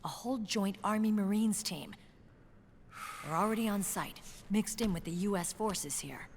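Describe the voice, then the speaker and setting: A woman's voice: mid-range voice, moderate pace, natural voice. An actress playing a confident female military officer in a video game, speaking with assured authority. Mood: businesslike. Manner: assured, commanding. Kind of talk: video game cutscene acting. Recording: clear background noise and ambience.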